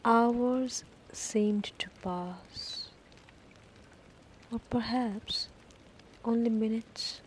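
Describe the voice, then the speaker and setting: Very slow female sleep meditation narrator. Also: fairly high pitch, a little hiss or hum. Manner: slow, deliberate phrases with long pauses. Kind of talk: guided sleep meditation, first-person scripted story. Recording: rain ambience behind the voice. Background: falling rain.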